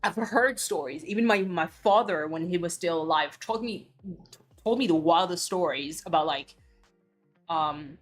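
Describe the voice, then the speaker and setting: A woman's voice: fairly low pitch, a little slow, soft background music. A woman from Belgium, a streamer chatting casually and ranting bluntly with cursing and many restarts. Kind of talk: casual chat.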